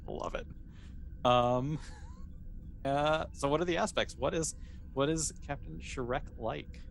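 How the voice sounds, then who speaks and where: A man's voice: a little high, takes it slowly, gravelly, slight background noise. A man running a tabletop game as game master, narrating in a casual, easygoing, improvised way.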